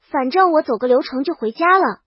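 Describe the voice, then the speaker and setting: A woman's voice: high voice, animated, fairly quick, no echo, highs cut off. A woman reading a scripted first-person comic story as a princess, with dramatic, wry delivery. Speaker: narrator.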